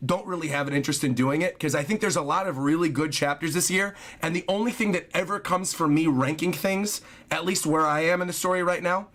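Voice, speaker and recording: A man chats casually on a livestream, his voice hoarse and scratchy from overuse. He sits fairly high for a male voice, thanks viewers one after another and keeps apologizing. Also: fairly bright tone. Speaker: streamer reading viewer donations.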